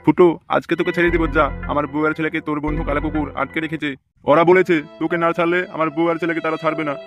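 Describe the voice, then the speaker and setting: A man's voice: a little high, level tone, racing, muffled recording, lightly acted. A man voicing a vengeful, gloating village politician in a comedy cartoon, with a coarse, menacing, mock-sincere manner. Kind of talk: amateur comedy cartoon dubbing. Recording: music behind the voice.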